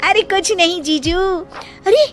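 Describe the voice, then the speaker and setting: A woman voicing a mischievous, bubbly younger sister in a cartoon story, teasing, excitable and dramatic. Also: very high-pitched, a little slow, soft background music, pitched above the performer's own voice. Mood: playful teasing. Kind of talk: cartoon moral story voice acting.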